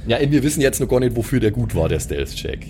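A man's voice: mid-range voice, very animated, quick pace, mellow, a little hiss or hum. A man chatting casually as a player in a tabletop game. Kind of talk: casual chat.

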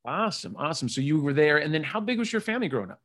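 Upbeat male podcast host, rapid casual delivery, chatty. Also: a little high, very animated, a bit bright. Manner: upbeat, chatty, many asides. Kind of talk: casual interview chat.

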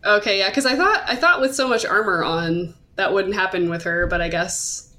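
A woman's voice: mid-range voice, normal speed, bright, forceful tone. A woman hosting a podcast, talking casually about comics in a hesitant, self-qualifying way. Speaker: podcast host. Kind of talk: casual discussion of manga.

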